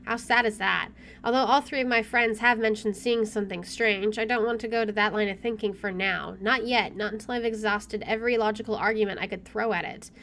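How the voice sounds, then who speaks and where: A woman's voice: mid-range voice, fairly even intonation, brisk, a bit bright, a little hiss or hum. A woman let's player reading a visual novel aloud expressively with chatty asides, game music playing behind her.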